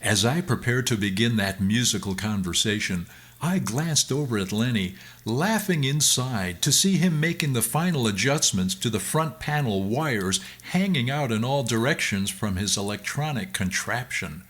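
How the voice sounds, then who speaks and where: A middle-aged or older man reading a scripted first-person memoir in an expressive, theatrical storytelling manner. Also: mid-range voice, normal speed. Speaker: storyteller, former music teacher.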